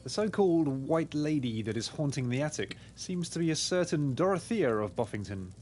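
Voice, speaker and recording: A man voicing an earnest pig detective in a game, reasoning through deductions in a formal, deliberate way. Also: fairly high pitch, animated, mellow, faint music behind, close to an everyday voice. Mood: earnest, deductive. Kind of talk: scripted game dialogue.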